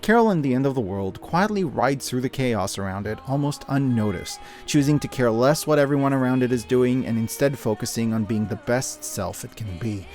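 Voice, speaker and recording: A man's voice: medium pitch, animated, normal speed, few pauses, darkish tone. A man narrating a scripted review with casual asides, speaking in an earnest, opinionated and emphatic way. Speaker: video essayist and media reviewer. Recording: music behind the voice. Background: music.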